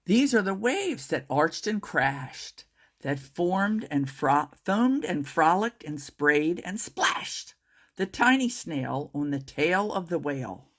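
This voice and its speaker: A woman reading a children's picture book aloud in an expressive, drawn-out storytelling manner. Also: lowish voice. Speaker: storytime reader.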